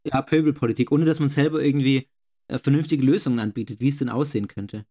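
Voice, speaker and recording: A young man with a regional German accent co-hosting a casual podcast, agreeable, affirming often and pausing on fillers. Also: mid-range voice, warm tone. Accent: regional German, not standard. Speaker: podcast co-host. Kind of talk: casual debate chat.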